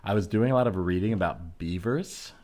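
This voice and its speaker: A man improvising an earnest, easygoing tattooed nature enthusiast in a comedy sketch, talking haltingly and repeating words as he searches for phrasing. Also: deep voice, a little mellow, close to an everyday voice. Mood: earnest, enthusiastic.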